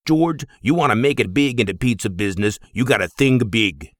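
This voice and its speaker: A man doing a booming old Greek father. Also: expressive, brisk, no echo, studio quality, natural voice. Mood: commanding.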